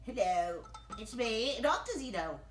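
A woman voicing a dotty, stubborn male doctor in a children's puppet show, halting and stammering. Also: fairly high pitch, lively intonation, distant mic. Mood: dithering, stubborn. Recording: echoey room.

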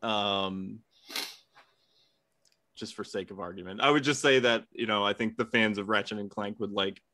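A man's voice: on the low side, moderate pace, bright, forceful tone, no room sound, studio quality. A young man giving his opinion in a casual, conversational debate. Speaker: debate contestant. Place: room at home, video call.

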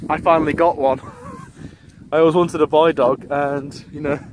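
A young man, somewhat high-voiced, rambles casually into his phone, stopping to restart and correct himself. He is walking outdoors on open, windy ground. A loud wind rushes across the phone mic the whole time. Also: fairly even intonation. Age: 20s. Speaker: vlogger. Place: outdoors on a windy grassy embankment. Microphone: phone held at arm's length.

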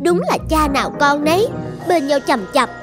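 A woman voicing a resentful young village girl in a cartoon fairy tale, speaking with sharp, sarcastic reproach. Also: high-pitched, moderate pace, over music, lightly acted. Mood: scornful.

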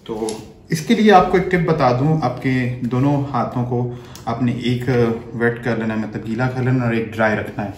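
A man's voice: medium pitch, moderate pace. A man in his thirties, a chef, giving a step-by-step cooking demonstration in a home kitchen while working with his hands. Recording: echoey kitchen, faint background noise.

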